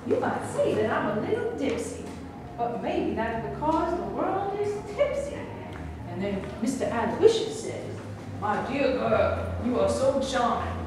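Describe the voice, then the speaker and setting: A woman's voice: fairly high pitch, very animated, unhurried. A woman playing a ditzy, vain starlet. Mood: chirpy. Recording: echoey room with noise.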